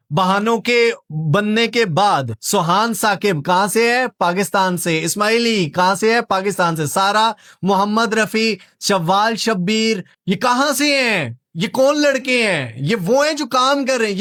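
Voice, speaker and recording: High-pitched Pakistani man giving a tutorial. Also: fairly bright tone. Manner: emphatic, repetitive, full of rhetorical questions and check-ins. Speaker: online skills tutor and video host. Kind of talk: informal tutorial narration, walking viewers through websites.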